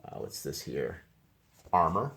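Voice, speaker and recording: A man's voice: sits low, very animated, slow pace, fairly bright tone. A man working as a teacher, reading a picture book to young children and commenting casually in simple explanations. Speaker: teacher reading aloud to first grade class.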